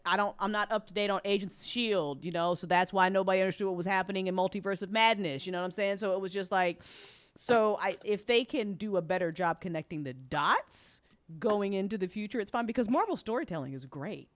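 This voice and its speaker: A woman talking casually and bluntly on a panel as host, with emphatic opinions and free swearing. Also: fairly low pitch.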